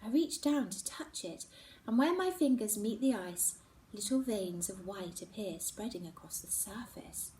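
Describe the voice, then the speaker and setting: A woman reading a children's novel aloud in first person, expressive and dropping into hushed whispers. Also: mid-range voice, unhurried, far from the mic, noisy background. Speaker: children's book reader. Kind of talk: first-person storybook narration. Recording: roomy echo, set back from mic.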